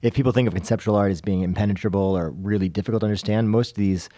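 A man's voice: fairly low pitch, rapid-fire, warm tone, no room sound, clean studio sound. A man hosting a casual podcast discussion in a conversational way. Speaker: podcast host.

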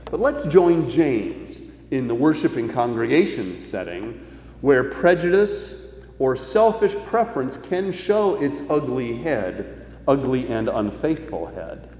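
A man preaching a sermon as a pastor, measured and leaning with emphasis on key phrases. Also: medium pitch, sing-song, a little mellow. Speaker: pastor preaching to his congregation. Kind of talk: sermon, reading and explaining scripture. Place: large reverberant indoor worship space. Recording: echoey church hall, muffled, faint noise.

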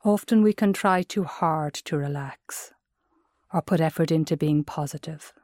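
A woman with an Irish accent gives measured, gentle guided meditation narration as a mindfulness instructor. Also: low voice, no room sound, studio quality.

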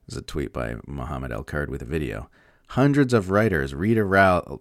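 A man with a very low, gravelly voice reads political commentary aloud. His delivery is emphatic and sardonic, moving widely in pitch, and the recording is close and dry. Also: no room sound. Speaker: narrator reading political commentary. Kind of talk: scripted opinion essay read aloud.

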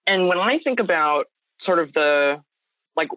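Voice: mid-range voice, animated, a little slow, no room sound. A podcast host explaining background facts to listeners. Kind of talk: podcast interview. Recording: tinny phone-like dry line.

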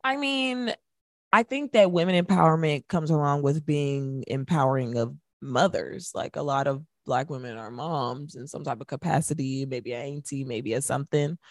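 A young woman with a slightly low voice talks music as a radio co-host. She is opinionated and sassy, leaning on colloquial phrasing, and the sound is close and dry. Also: normal speed, no room sound. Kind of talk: casual opinionated music chat.

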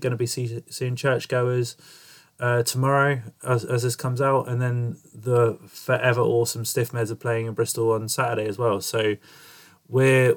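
A young man talks into a podcast mic, his voice stuffy and nasal from a cold. He rambles casually, swearing in passing, at a mid pitch. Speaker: podcast host. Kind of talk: casual podcast intro monologue.

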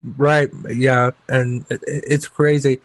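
A man's voice: medium pitch, flat delivery, slow pace, dry recording. A man hosting a podcast over a video call, with a stutter, swearing casually and agreeing often. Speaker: podcast host.

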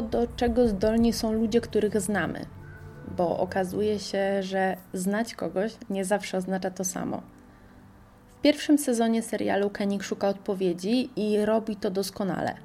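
Mellow-voiced woman radio host. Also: mid-range voice. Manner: reads from a script at an even, measured pace. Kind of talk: scripted radio podcast review.

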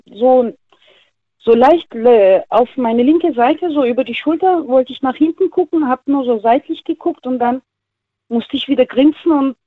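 A woman's voice: high voice, measured pace, dry recording. A woman calling a radio show by phone, telling personal things in a colloquial, emphatic way with repeated phrases. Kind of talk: casual personal storytelling. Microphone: telephone. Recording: thin, tinny phone line.

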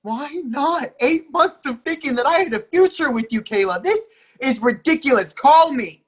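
A woman's voice: sits high, expressive, moderate pace. A woman in a play reading, playing a young lover who is heartbroken and pleading, hurt and sarcastic by turns. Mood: hurt, indignant. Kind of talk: readers theatre play reading.

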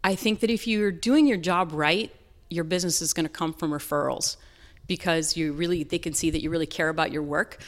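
A woman's voice: deep voice, a little fast. A woman from North Carolina with an American accent, answering interview questions in an earnest, explanatory, conversational way. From North Carolina, southern United States. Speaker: interview guest, real estate and design business owner.

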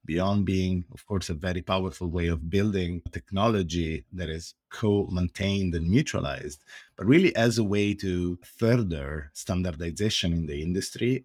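Deep-voiced Italian man, earnest explainer. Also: darkish tone, husky, dry recording, studio quality. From Italy. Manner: earnest, explanatory, with hesitant fillers. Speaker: guest, open source foundation director. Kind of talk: podcast interview answers.

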